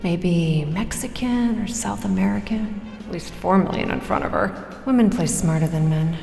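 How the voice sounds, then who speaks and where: Actress as shrewd female gambler in radio drama: clipped, cool, muttering, deep reverberant space, music underneath. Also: normal speed, vocal fry, warm tone, natural voice. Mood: calculating. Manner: clipped, calculating, muttered asides. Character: shrewd, cool-headed woman gambler sizing up her rivals. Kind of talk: audio drama acting, inner monologue. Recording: roomy, echoing hall reverb.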